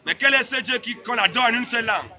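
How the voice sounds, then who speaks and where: A man's voice: extremely high pitch, expressive, fast, sharp tone. A man preaching in the open air on a city street, speaking French as a second language, emphatic and repetitive, challenging the passers-by. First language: another language, French spoken as second. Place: busy city street outdoors. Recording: street noise behind.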